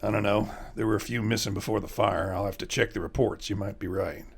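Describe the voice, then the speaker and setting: An actor playing a weary, plain-spoken chief park ranger in an audio drama, with a gravelly, husky male voice. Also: on the low side, steady tone, fast. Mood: matter-of-fact. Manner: plain-spoken, matter-of-fact.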